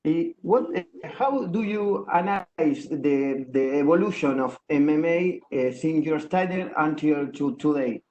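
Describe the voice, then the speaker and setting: Argentine reporter, halting English. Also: male voice, fairly high pitch, a little mellow, dull audio. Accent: Argentine Spanish. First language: Spanish. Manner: halting, searching for English words.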